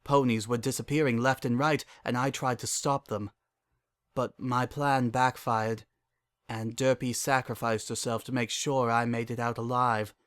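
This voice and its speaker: A narrator voicing a sorrowful, world-weary pony father in a dramatic story, halting and hollow in delivery. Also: medium pitch, flat delivery, a bit bright, no echo, close to an everyday voice. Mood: grave, regretful. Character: guilt-ridden, weary old time-traveling stallion father.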